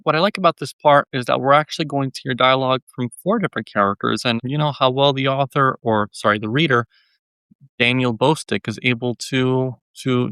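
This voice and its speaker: A man's voice: medium pitch, bright, forceful tone, clean tone, dry recording. A man giving a casual, informal book talk as presenter, hesitant and often repeating words and phrases. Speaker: presenter reviewing a novel.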